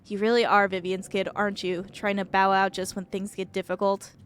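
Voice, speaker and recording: A woman's voice: mid-range voice, flat delivery, a little fast, clear, resonant tone, natural voice. A woman voicing a stubborn, sarcastic woman who runs a mine, in a curt, dry manner, in a video game. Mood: stubborn, stern.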